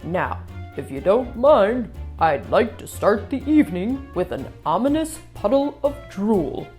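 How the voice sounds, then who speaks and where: A big, toe-hungry monster from a picture book, acted out by a woman. He growls with playful menace and takes his time, his pitch moving around freely. Soft music sits behind the voice. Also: very animated, a little slow. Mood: mock-menacing.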